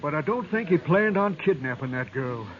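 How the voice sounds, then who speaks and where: Man as high-voiced rustic stagecoach driver. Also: expressive, normal speed, close to an everyday voice. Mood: explaining. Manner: folksy, rustic, urgent. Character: plain-spoken, excited frontier stagecoach driver. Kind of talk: 1950s radio western acting. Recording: tinny old radio, crowd behind.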